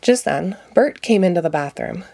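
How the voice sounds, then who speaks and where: A woman's voice: fairly low pitch, animated, normal speed, vocal fry, mellow, no room sound. Woman reading a children's storybook aloud. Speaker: storybook reader. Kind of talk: children's read-aloud narration.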